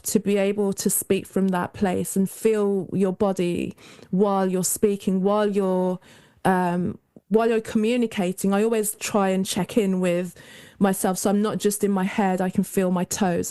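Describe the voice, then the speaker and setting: A woman's voice: mid-range voice, warm tone, clear, resonant tone. A woman with a young-sounding voice, a guest in a casual interview, speaking reflectively in long flowing thoughts. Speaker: guest, mentor to creative women.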